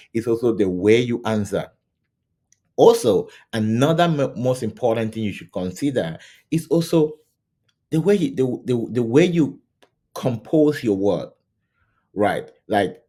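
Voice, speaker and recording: A man's voice: mid-range voice, dark tone. A middle-aged man from Cameroon coaching to camera on job interviews, talking loosely and often checking in with "right?". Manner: conversational, repeats "right?" and restarts often. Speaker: scrum coach. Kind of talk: teaching to camera. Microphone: camera mic, facing camera.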